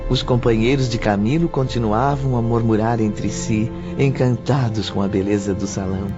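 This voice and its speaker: A man narrating an audio drama in a slow, expressive storytelling manner. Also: mid-range voice. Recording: muffled lo-fi audio with music.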